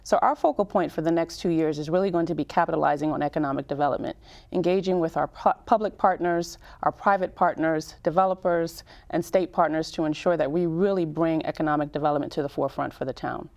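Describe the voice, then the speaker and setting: A woman in her thirties from Cameroon, speaking as a mayor in an interview in a measured, explanatory way with emphatic lists. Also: deep voice, steady tone, darkish tone. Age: 30s. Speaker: town mayor, interview guest. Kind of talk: public-affairs interview.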